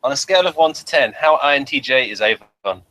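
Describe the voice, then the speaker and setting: A man co-hosting a casual chat, asking questions in a halting way and repeating his opening words. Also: medium pitch, lively intonation, sharp tone, low-quality recording. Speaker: podcast co-host asking questions.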